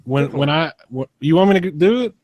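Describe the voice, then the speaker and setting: A man's voice: fairly high pitch, fast talker, darkish tone, no echo. A man on a podcast panel, talking casually and explaining his ideas. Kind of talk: animated casual chat.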